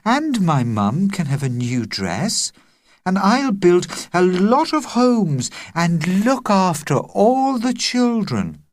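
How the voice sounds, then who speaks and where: A storyteller voicing a kind, dreamy young schoolgirl who speaks softly. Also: mid-range voice, wildly swooping pitch, a little slow, slightly rough, dry recording, studio quality, pitched above the performer's own voice, full character voice. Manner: soft, crooning.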